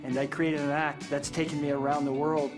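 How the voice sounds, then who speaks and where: A man's voice: on the high side, little pitch movement, a little fast. A man from Toronto, a magician contestant, telling his story in a wry, self-deprecating way. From Toronto, Canada. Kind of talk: interview-style storytelling. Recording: backing guitar music.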